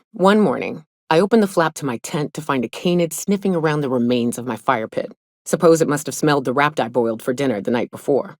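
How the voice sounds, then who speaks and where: An actress playing a gruff, sardonic, hard-drinking frontier guide and monster hunter in a video game, with a folksy drawl. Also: female voice, low voice, dry recording, studio quality. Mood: storytelling. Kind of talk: video game character dialogue.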